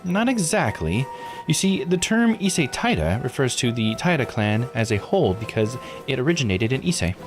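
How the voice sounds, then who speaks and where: A polite, knowledgeable visual novel researcher, played by a man reading aloud, speaks in a raised, lively voice over music. The delivery is explanatory and chatty. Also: mid-range voice, normal speed, voice pushed up, natural voice. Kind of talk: character voice reading game dialogue.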